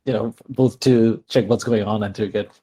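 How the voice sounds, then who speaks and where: A man's voice: on the low side, fairly even intonation, normal speed, warm tone. A man playing a tabletop roleplaying game, improvising his character's actions as he thinks aloud, hesitantly.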